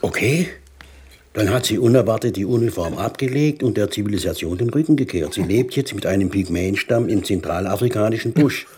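Actor as low-voiced calculating government fixer. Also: male voice, animated, darkish tone, slight background noise, lightly acted. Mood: breezily cynical. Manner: measured bureaucratic phrasing. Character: smooth, calculating government fixer, male, cynical schemer. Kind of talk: German radio crime drama acting.

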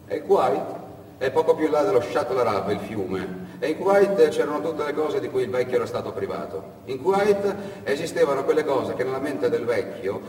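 A man narrating a dramatic monologue as a stage storyteller, in theatrical, repeated phrases with pauses. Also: medium pitch, very animated, a little mellow. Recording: echoey hall, distant mic, background noise.

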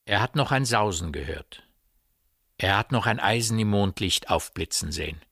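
A man narrating a literary radio play in a grave, measured storytelling manner. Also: fairly low pitch, expressive, vocal fry, sharp tone, husky, no echo, studio quality, exaggerated voice. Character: grave omniscient male narrator of a village mystery.

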